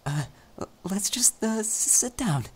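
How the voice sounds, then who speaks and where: Man playing a shy, stammering young male vampire, slow-spoken. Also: high-pitched, lively intonation, husky, full character voice. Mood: flustered, bashful. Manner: hesitant, stammering, nervous. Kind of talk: ASMR roleplay, soft intimate speech.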